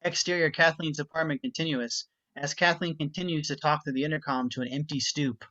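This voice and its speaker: Casting: male narrator for a screenplay table read, slightly high pitch, reads scene directions, lo-fi sound. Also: fairly even intonation, fairly quick, bright, forceful tone. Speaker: narrator reading stage directions. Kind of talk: scripted narration at an amateur script reading. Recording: dull, muffled home recording.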